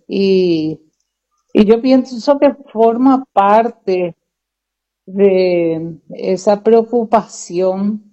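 A woman with a Paraguayan Spanish accent, answering deliberately in a conversational interview, often repeating phrases as she builds her point. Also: mid-range voice, dark tone. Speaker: interview guest, educator. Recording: dull lo-fi muffled sound.

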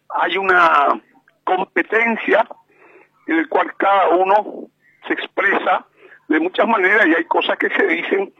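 A man with a Paraguayan Spanish accent, calling into a radio show and speaking slowly with many hesitations. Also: sits high, slightly rough, no room sound. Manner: slow and measured, with many hesitations. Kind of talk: slow deliberate radio commentary. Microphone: telephone. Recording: muffled phone line.

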